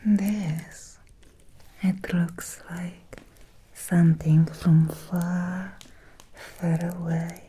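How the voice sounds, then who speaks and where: Woman acting a slow, whispering, seductive vampire lady. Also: lowish voice, flat delivery, warm tone, slight background noise. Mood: teasing, curious. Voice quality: breathy whisper. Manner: slow, lingering, teasing, intimate. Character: seductive, obsessive female Victorian vampire, softly predatory. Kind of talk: ASMR roleplay, whispered personal attention.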